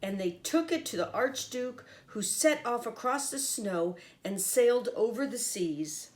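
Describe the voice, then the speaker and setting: A woman's voice: fairly high pitch, expressive, measured pace, a little mellow. A woman reading a picture book aloud to children as a teacher, expressively and with little comments to her listeners. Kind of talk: animated picture-book storytelling.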